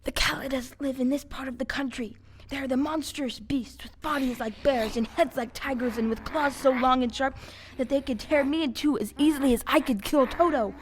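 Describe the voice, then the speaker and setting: A woman's voice: high-pitched, fairly even intonation, brisk, husky. A woman playing a timid, fearful Cowardly Lion in a dramatic storybook audio play. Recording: live stage with faint audience noise.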